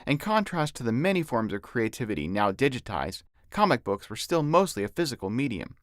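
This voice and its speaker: A man's voice: mid-range voice, very animated, fairly quick, dry recording, clean studio sound. A man reading his own novel as scripted audiobook narration.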